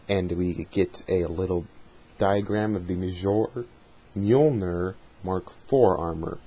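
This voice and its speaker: A man with a slightly husky voice doing a dramatic reading, with casual asides in between. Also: low-pitched, warm tone. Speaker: reader of a fan dramatic reading.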